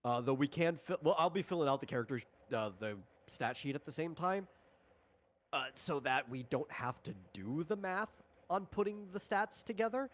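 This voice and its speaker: A man's voice: on the high side, lively intonation, moderate pace. A man talking casually on a livestream as a tabletop game designer, explaining rules in a rambling, informal way.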